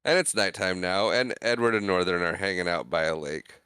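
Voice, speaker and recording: A man's voice: low voice, a little fast, bright, forceful tone, smooth, no echo, clean studio sound. A man co-hosting a podcast, retelling film scenes in casual chat, acting out the characters' quoted lines. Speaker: podcast co-host.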